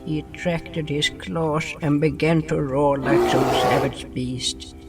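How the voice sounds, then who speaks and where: A man's voice: a little high, fairly even intonation, slow, gravelly, far from the mic. A man narrating a scripted first-person story in a dramatic manner, often dropping his articles. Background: music.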